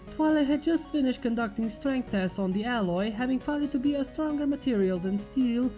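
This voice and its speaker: Female story narrator, higher-pitched yet warm and relaxed, faint music underneath. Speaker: fan fiction reader.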